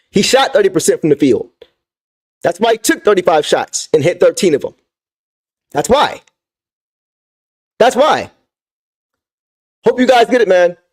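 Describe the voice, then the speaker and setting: A young man with a voice going hoarse, hosting a livestream and venting about basketball, repeating his points with emphasis and talking to the chat. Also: high-pitched, darkish tone. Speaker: sports fan channel host. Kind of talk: livestream sports rant.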